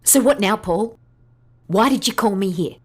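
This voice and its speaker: A woman's voice: medium pitch, expressive, normal speed, husky. An actress playing a fearless but hurt and caring young adventurer woman in an audio drama. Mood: wary.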